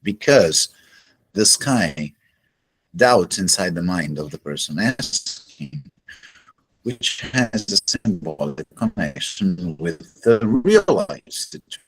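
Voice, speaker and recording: Slow, husky-voiced man. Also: expressive. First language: Portuguese. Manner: measured, deliberate, pauses between phrases. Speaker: astrology teacher. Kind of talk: solo explanatory lecture. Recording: low-quality home recording.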